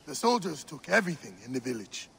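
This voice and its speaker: An actor playing a warm, teasing village friend of a warrior in a video game, speaking expressively and familiarly. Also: male voice, on the high side, sing-song, fast, gravelly, slight background noise, full character voice. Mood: earnest, worried. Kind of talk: video game cutscene acting.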